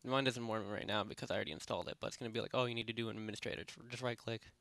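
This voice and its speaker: A man narrating a software tutorial in a casual, unscripted, rambling way, speaking informally with lots of filler phrases. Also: lowish voice, fairly even intonation, very fast, vocal fry, no echo. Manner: rambling, informal, repeats "basically" and "you know". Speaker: tutorial presenter. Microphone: close headset microphone.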